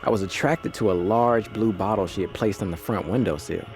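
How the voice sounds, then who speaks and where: A wry ghost of a roaming cat tells his tale, played by a man in a low, folksy voice. It is scripted audio drama storytelling, with music clearly playing behind the words. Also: brisk, darkish tone, lightly acted. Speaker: narrator. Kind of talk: first-person scripted storytelling.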